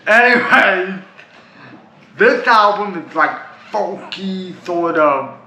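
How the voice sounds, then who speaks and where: A man's voice: high-pitched, expressive, slow pace, pushed and bright. A Canadian man reviewing albums in a casual, rambling way, full of "like" and "uh". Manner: rambling, chatty, restarts with "like" and "uh". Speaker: music reviewer. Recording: dull lo-fi sound in an echoey room with faint noise.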